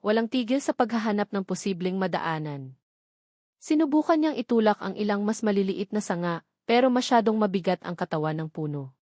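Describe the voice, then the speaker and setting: A woman's voice: medium pitch, a little mellow, no room sound, studio quality. A woman narrating a romance story as a dramatic, scripted audiobook.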